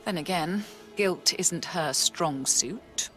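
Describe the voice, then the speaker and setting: A woman's voice: fairly low pitch, slow, fairly bright tone, soft background music. A woman voicing a haughty, guarded upper-class family member in a video game, composed and politely disdainful. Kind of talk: video game cutscene acting.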